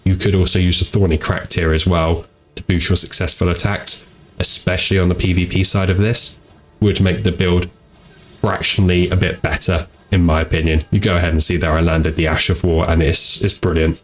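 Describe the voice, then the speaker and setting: Deep-voiced, stuffy-nosed gaming host. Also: male voice, level tone, fairly quick, faint music behind. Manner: easygoing and rambling, repeats "go ahead". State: congested and tired from a cold. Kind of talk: casual commentary over gameplay. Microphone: home desk microphone.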